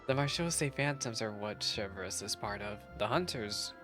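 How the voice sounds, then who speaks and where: A man giving casual, chatty reactions as he streams a game, in an excitable, nerdy way. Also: lowish voice, normal speed, music in the background. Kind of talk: casual reaction commentary over gameplay.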